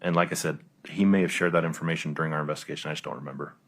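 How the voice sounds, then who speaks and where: Very deep, husky, halting male witness. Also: fairly even intonation, a bit bright. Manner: halting, hedging, careful. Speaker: police investigator testifying as witness. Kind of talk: courtroom testimony. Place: courtroom.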